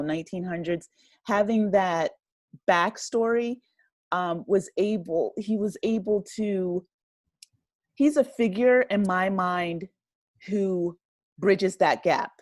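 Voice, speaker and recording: A woman's voice: fairly low pitch, a little slow, a little mellow, no room sound, studio quality. A woman speaking as an interview guest in a casual, reflective way, tentative and often correcting herself. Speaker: interview guest, writer and director.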